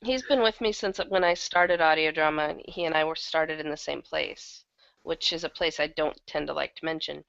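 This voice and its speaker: Low, creaky-voiced woman in casual conversation. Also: fairly even intonation, moderate pace, a bit bright. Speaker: interview guest, audio drama producer. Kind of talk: casual interview chat. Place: online video hangout. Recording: dull lo-fi online call.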